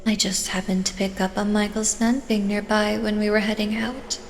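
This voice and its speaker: A woman playing a reserved, dutiful fighter in an audio drama, speaking in an even, formal manner. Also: medium pitch, moderate pace, lightly acted. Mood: matter-of-fact. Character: reserved, dutiful female long-range fighter. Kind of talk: scripted fan audio drama acting. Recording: reverberant hall, faint noise.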